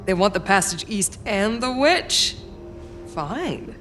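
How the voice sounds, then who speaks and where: An actress playing a cool, sly negotiator with a measured, commanding manner in a video game cutscene. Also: female voice, mid-range voice, very animated, over music. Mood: cool, calculating.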